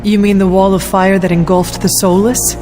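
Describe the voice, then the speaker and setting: An actress playing a solemn resurrected warrior in a video game, speaking formally and earnestly with measured care. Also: female voice, medium pitch, flat delivery, over music, natural voice. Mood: probing, calm. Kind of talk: video game cutscene acting.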